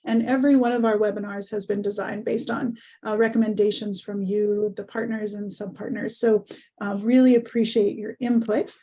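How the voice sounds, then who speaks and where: A woman hosting an online webinar over a video call, a little hoarse, friendly and full of ums. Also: mid-range voice, flat delivery, normal speed, warm tone. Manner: friendly, many ums and uhs. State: slightly hoarse. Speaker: webinar host. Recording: muffled video call audio.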